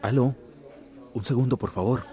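An actor playing a smug, scheming company informant reporting to his boss in a radio drama, with a hushed, deferential manner. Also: mid-range voice, normal speed, faint music behind, natural voice. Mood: conspiratorial.

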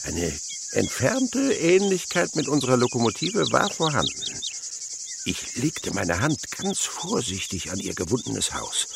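Wildly animated storytelling father, crickets chirping. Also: mid-range voice, a little slow, gravelly, very noisy, exaggerated voice. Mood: earnest, awed. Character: imaginative father and naturalist, enthusiastic storyteller. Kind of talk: German radio play acting.

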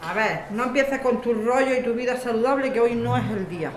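A woman's voice: on the high side, fairly quick, natural voice. A woman with an accent from Spain acting a tired, secretive, evasive character in radio soap dialogue. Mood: tired, grumbling. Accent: Spanish.

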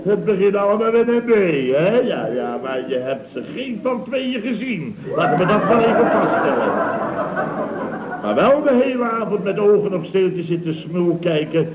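An actor with a Netherlands Dutch accent playing a blustery, sarcastic middle-aged building contractor boss in a radio comedy, lecturing pompously. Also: male voice, high-pitched, very animated, crowd noise. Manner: pompous, drawn-out, mock-casual lecturing. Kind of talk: 1960s-70s radio comedy acting. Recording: roomy, muffled, hissy old radio recording.

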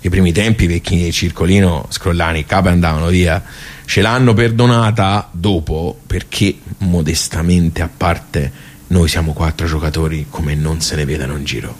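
A man's voice: sits low, fairly even intonation, moderate pace. A man playing a wry, nostalgic regular of a workers' club in a radio monologue, colloquial and rambling, with a Tuscan accent. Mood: chatty, confiding. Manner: rambling, colloquial, self-interrupting. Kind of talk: radio theatre monologue, conversational.

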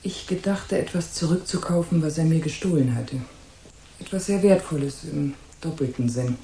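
A woman's voice: sits low, normal speed, mellow, slight background noise. An actress playing a haughty, suspicious and scornful married woman in a German radio crime drama. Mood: guarded, bitter. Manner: disdainful, imperious, guarded. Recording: roomy echo.

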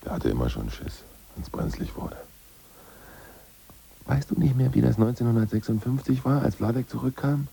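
An actor playing an older ousted Politburo member, a bossy schemer who often talks in hushed, conspiratorial whispers. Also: male voice, medium pitch, huge pitch swings, normal speed, gravelly, breathy edge, exaggerated voice. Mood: reminiscing. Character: ousted old party boss, scheming and bossy. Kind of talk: 1980s radio play acting.

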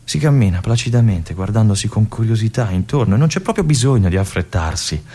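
An actor playing a lonely, obsessive army lieutenant in a stage monologue, musing aloud and swinging between brooding and feverish. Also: male voice, on the low side, big pitch swings, brisk, mellow, slightly rough. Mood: melancholy. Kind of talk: theatrical monologue for radio.

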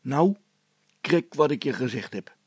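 A man's voice: mid-range voice, animated, measured pace, breathy edge, no room sound, pitched above the performer's own voice. A man voicing a good-natured, proud village policeman with a folksy, rambling, hesitant way of talking in a comic story. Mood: conspiratorial. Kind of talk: character voice in a read-aloud comic story.

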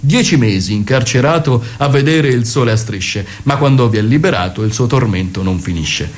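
A man's voice: mid-range voice, normal speed, a little hiss or hum. A man narrating a comic radio theatre piece, declaiming in sing-song rhymed verse. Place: radio broadcast.

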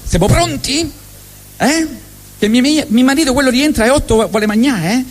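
A man putting on a shrill Roman mother calling her children to dinner from the window, with a Roman accent. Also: normal speed. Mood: impatient.

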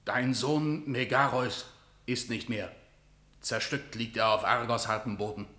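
An actor playing a messenger who reports a lost battle, in an urgent, grave declamation of classical verse. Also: male voice, medium pitch, steady tone, unhurried, bright, forceful tone. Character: male messenger bringing news of defeat. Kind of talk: classical verse radio drama. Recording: roomy studio echo.

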